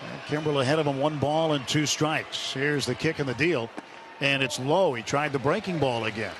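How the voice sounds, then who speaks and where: A man's voice: mid-range voice, moderate pace. A man doing live radio play-by-play of a baseball game in clipped present-tense calls, as the announcer in the booth. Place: stadium broadcast booth. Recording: noisy live ballpark radio broadcast. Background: loud ballpark ambience.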